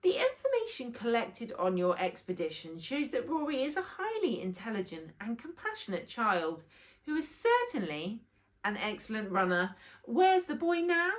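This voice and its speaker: Imperious female bee monarch, performed by a woman reader: high, swooping, commanding, storybook style. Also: very animated, normal speed. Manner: grand, projecting, commanding.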